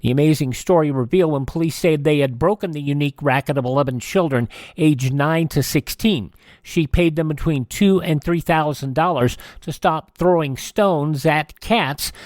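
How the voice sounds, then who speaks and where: Higher-pitched American man reading news. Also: moderate pace, darkish tone, dry recording, studio quality. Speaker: radio show host reading old newspaper stories. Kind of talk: scripted news narration.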